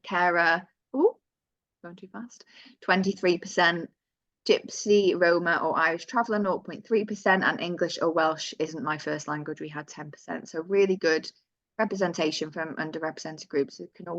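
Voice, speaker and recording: Woman giving a webinar briefing, low voice, dry and dull lo-fi call audio, casual self-corrections. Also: steady tone, moderate pace, a bit bright, dry recording. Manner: conversational, with self-corrections and asides. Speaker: presenter of survey findings. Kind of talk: webinar presentation. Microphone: computer mic on a video call.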